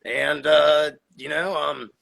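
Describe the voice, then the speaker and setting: A man's voice: fairly high pitch, steady tone, slow, creaky, fairly bright tone. A man in his forties from Florida, telling his story as a podcast guest in a laid-back, swearing, laughing way. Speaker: podcast guest, cannabis grower. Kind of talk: casual podcast chat.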